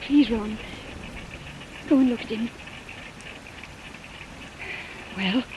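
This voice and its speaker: A woman acting in an old-time radio suspense drama as a frightened but quick-thinking accomplice, urgent and melodramatic. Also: a little high, lo-fi sound, natural voice. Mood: alarmed. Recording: vintage radio, faint noise and rain.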